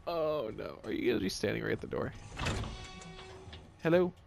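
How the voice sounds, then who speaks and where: A man's voice: medium pitch, animated, fast, vocal fry, over loud music. A man giving casual, playful video game commentary, goofily talking to himself as he plays. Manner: playful, goofy, talks and sings to himself. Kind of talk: casual let's play commentary.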